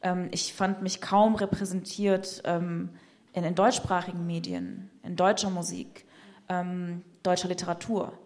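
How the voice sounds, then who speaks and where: A woman's voice: lowish voice, unhurried, a little mellow. A woman poet in conversation, speaking reflectively and searching for words with frequent fillers. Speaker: poet guest.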